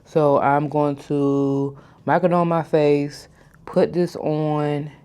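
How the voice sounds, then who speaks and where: A woman in her thirties chatting casually to her followers in a skincare vlog. She stutters at times, mispronounces some words, and slows her speech down on purpose. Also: deep voice, little pitch movement, mellow, clear, resonant tone. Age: 30s. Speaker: vlogger. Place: hotel room, indoors.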